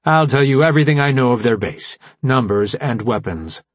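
A man voicing a formal, logical artificial intelligence robot leader in an audiobook, explaining things in precise, measured language. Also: mid-range voice, expressive, no room sound, voice pushed up, natural voice. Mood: solemn, explanatory. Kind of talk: audiobook character dialogue.

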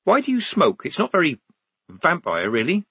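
A man's voice: a little high, huge pitch swings, normal speed, bright, forceful tone, husky, no echo, pitched above the performer's own voice, heavily acted. A man voicing a sensible young woman soldier with a guarded, practical manner in an audiobook. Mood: doubtful.

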